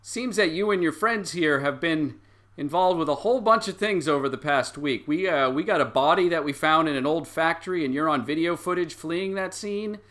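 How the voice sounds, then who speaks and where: Man playing a folksy small-town cop, sharp-edged voice. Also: a little high, moderate pace, slight background noise, natural voice. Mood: accusing, halting. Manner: hesitant, folksy, stumbling. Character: folksy small-town policeman, apologetic but insistent.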